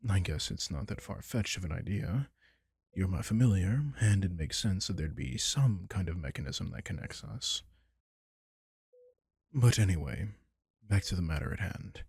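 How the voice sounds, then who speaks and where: A man performs a powerful yet gentle vampire king in an intimate comfort roleplay. The voice sits very low, with heavy fry and a husky edge. He speaks in an unhurried, protective way, as if to a single listener. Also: no room sound, heavily acted. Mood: tender, calm, then matter-of-fact, resolute. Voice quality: gravelly, husky. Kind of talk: intimate roleplay audio drama, comforting monologue.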